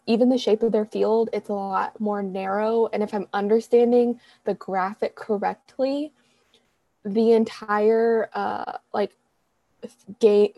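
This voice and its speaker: A woman's voice: mid-range voice, gravelly, dark tone, no room sound, dull audio. A young woman co-hosting a podcast, talking casually in a rambling way, correcting herself often with lots of fillers. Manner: rambling, self-correcting, chatty with frequent fillers. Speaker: podcast co-host. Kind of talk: casual chat.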